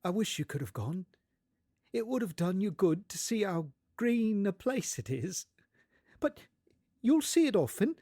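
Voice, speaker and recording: A man voicing a gentle, grieving poor father in a storybook, tender and faltering. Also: high-pitched, big pitch swings, unhurried, no echo, studio quality, voice pushed up, full character voice. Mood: tearful, tender. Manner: tender, faltering, repeating himself. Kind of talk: dramatised storybook reading.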